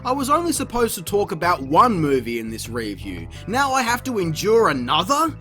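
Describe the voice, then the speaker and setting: Sarcastic, animated man reviewing cartoons. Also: sits high, normal speed, faint music behind. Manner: sarcastic, profane, exasperated. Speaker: video reviewer. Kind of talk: comedic review narration.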